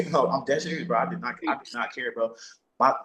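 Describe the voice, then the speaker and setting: A man's voice: mid-range voice, a little fast, pushed and bright, low-quality recording. A man talking casually as an actor being interviewed, with slangy, sweary, bro-heavy phrasing. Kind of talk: casual chat.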